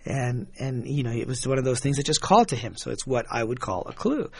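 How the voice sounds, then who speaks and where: A middle-aged man from Michigan, a guest telling reflective stories in a relaxed way, chuckling now and then and repeating words as he starts a thought. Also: medium pitch, quick pace, creaky, husky, dry recording. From Michigan, USA. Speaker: guest, author and engineer. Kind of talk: reflective conversational storytelling.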